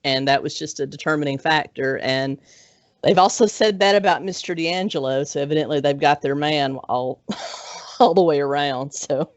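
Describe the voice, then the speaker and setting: A woman in her forties hosting a podcast in casual chat, chatty and quick to laugh. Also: low-pitched, no echo. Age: 40s. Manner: chatty, laughs often, trails off. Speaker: podcast host. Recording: dry, dull lo-fi audio.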